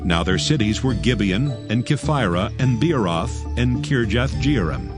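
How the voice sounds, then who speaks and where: A man narrates scripture in a formal, measured way. His voice sits on the low side, and music plays clearly underneath the narration. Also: lively intonation.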